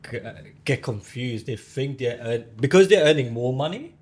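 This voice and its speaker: A man's voice: mid-range voice, expressive, normal speed. A man co-hosting a podcast in casual chat, often chiming in to agree. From London, born to a Mauritian family. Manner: agreeing, brief interjections. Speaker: podcast co-host.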